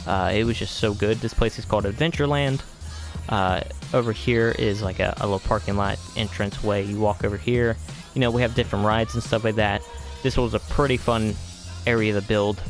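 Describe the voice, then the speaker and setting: A man giving a casual, rambling game tour as video host, hesitant and often trailing off into vague asides. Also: lowish voice.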